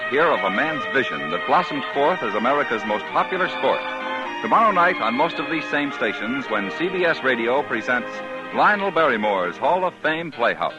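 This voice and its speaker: A man reads credits and network promos in classic formal announcer style. His pitch swings widely as he lays the emphasis on. Music plays behind him, and the broadcast sounds dull and vintage. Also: big pitch swings, moderate pace. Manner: formal, declamatory announcer delivery. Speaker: radio announcer. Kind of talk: 1950s radio announcing.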